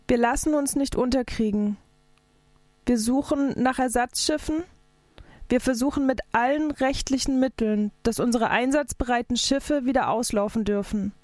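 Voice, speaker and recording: High-voiced woman giving an activist speech. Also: moderate pace, a little mellow, dry recording. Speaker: activist speaker. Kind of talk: scripted political rally speech.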